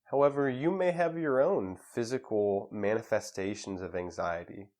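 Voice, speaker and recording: A man leading a guided meditation in a calm, measured way with pauses between phrases. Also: on the low side.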